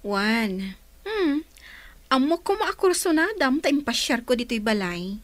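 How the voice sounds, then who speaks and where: A woman's voice: sits high, very animated, unhurried, dry recording. A woman with an Ilocano accent playing a playful, scheming younger sister in a radio drama.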